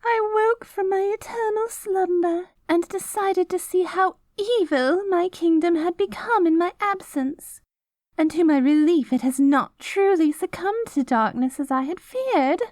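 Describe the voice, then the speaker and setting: High, pompous, vain queen. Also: unhurried, darkish tone, dry recording, studio quality. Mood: grand, self-important. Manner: pompous, theatrical, mock-archaic diction. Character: haughty, vain, self-righteous good queen, secretly cruel. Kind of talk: scripted fantasy audio roleplay.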